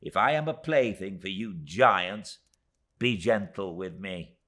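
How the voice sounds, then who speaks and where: A man's voice: sits low, expressive, a little slow, fairly bright tone, voice pushed up, exaggerated voice. A man reading a storybook and voicing a tiny, emphatic, indignant middle-aged woman in distress. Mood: plaintive, earnest.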